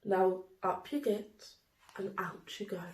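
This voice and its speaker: In an echoey room, a woman reads the part of a tender storybook mother. She is soothing and earnest, speaking slowly to comfort her little girl. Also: medium pitch, darkish tone, close to an everyday voice. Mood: tender, reassuring. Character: gentle, loving mother comforting her young daughter. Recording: roomy, echoey indoor sound.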